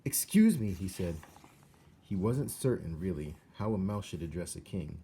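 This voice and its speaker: A man reading a children's story aloud as an expressive narrator. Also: low voice, normal speed, gravelly, slightly rough.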